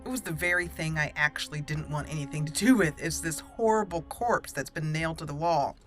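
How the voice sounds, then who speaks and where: A woman's voice: low-pitched, fairly quick, faint music behind. A young woman casually commenting on her game as she plays, chatty and giggly, rambling with fillers. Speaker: let's play host.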